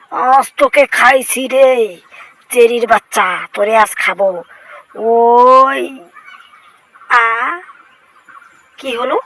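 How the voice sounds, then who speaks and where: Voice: medium pitch, sharp tone, full character voice, low-quality recording. A voice actor dubbing a boastful, vengeful cartoon cat in speech, exclaiming, whining and bragging to himself in a comic fan-dub style. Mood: aggrieved, scheming.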